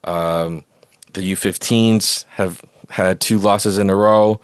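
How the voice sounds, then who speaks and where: This is a man with a low voice, recorded close and dry. He hosts a casual sports podcast and gives his opinions in a rambling, conversational flow. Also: fairly even intonation, slow, clear, resonant tone, no room sound. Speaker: podcast host.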